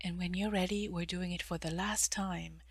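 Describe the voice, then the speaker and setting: A woman guiding a mindful stretching meditation in a soft, breathy voice, giving gentle, measured instructions with pauses and phrases that often fade toward a whisper. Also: fairly low pitch, steady tone, dry recording. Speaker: meditation guide, therapist.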